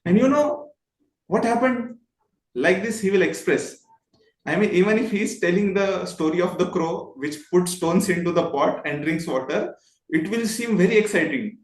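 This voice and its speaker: A man's voice: high voice, moderate pace. A man with an Indian accent explaining things in an interview, politely and expressively, with illustrative examples. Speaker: guest, voice modulation trainer. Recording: roomy, echoing sound.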